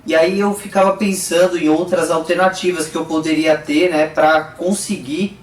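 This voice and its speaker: A man's voice: on the high side, fairly even intonation, moderate pace, a little hiss or hum. A man with a Brazilian accent giving a casual, conversational explanation as a vlogger, often checking in with short tag questions. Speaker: motorcycle vlogger. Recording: echoey room, distant microphone.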